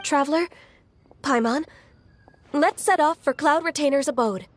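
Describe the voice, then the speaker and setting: A woman voicing a gentle, dutiful young female immortal in a video game, speaking earnestly in a polite, measured, slightly hesitant way. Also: high-pitched, natural voice. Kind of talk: video game character acting. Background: music.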